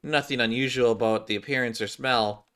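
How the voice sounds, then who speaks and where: Man putting on a pompous, bright-voiced girl detective. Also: mid-range voice, steady tone, lightly acted. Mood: prim. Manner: formal, pompous, deliberate wording. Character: earnest, formal young female detective, primly self-assured. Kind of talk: game cutscene read-aloud.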